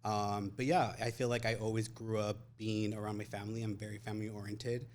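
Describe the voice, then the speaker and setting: A man's voice: lowish voice, little pitch movement, fairly quick, a little hiss or hum. A young man talking as an interview guest, casual and chatty with plenty of fillers and easy laughter. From Mexican-American family, grew up in US. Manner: laid-back, chatty, lots of fillers and laughs. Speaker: guest, content creator and photographer.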